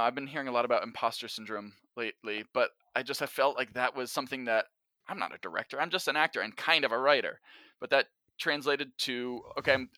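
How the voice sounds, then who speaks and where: A man's voice: mid-range voice, bright, forceful tone, dry recording. A man in a casual, reflective conversation as a podcast guest, speaking candidly and hesitantly in a self-effacing way. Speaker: podcast guest, actor and writer of audio drama.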